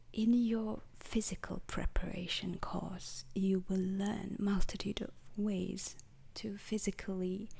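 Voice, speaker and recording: A woman performing a whispered ASMR roleplay as a formal, grave veteran instructor lecturing space recruits, in a breathy, deliberate voice. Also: on the low side, a little mellow, exaggerated voice.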